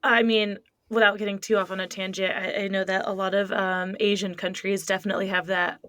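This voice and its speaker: A woman's voice: medium pitch, little pitch movement, brisk, fairly bright tone. A woman hosting an interview, talking casually and rambling a bit with lots of "like". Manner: casual, rambling, heavy use of "like". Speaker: podcast host.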